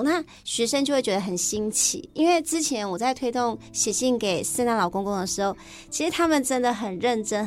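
A woman's voice: fairly high pitch, a bit bright. A woman with a Taiwanese Mandarin accent, a teacher chatting enthusiastically as an interview guest. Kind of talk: casual interview chat.

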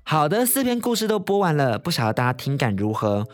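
A young Taiwanese man talks as a podcast host. His voice is on the high side for a man, with a Taiwanese Mandarin accent and a chatty, expressive, playful delivery. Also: fairly quick, soft background music. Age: young adult. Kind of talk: casual podcast sign-off.

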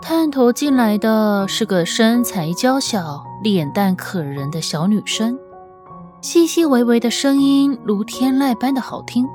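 A woman reading scripted fiction as an audiobook narrator, with an expressive, measured, dramatic storytelling delivery. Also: a little high. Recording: music under the voice. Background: background music.

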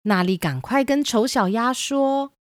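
A woman's voice: on the high side, animated, measured pace, dry recording, studio quality. A woman reading scripted narration for a children's storybook tale. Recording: music behind the voice.

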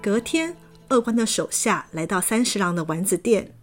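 A woman narrating a children's fairy tale in a lively, expressive way. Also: mid-range voice, normal speed. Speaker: storyteller. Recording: background music.

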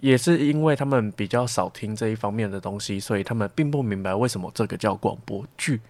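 A young man speaks Mandarin with a Taiwanese accent in a rather low voice. He answers as a student interviewee, reflectively working through examples one step after another. The sound is very dry. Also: fast, darkish tone, no echo. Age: young adult.

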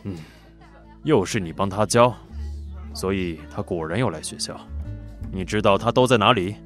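Man acting a mildly weary high-school teacher. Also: normal speed, faint music behind, lightly acted. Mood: resigned. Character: patient, resigned male high-school teacher. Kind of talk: Mandarin audio drama acting.

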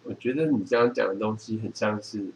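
A man's voice: lowish voice, fairly quick, a little hiss or hum, poor audio. A man chatting casually as a podcast co-host. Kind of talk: casual chat.

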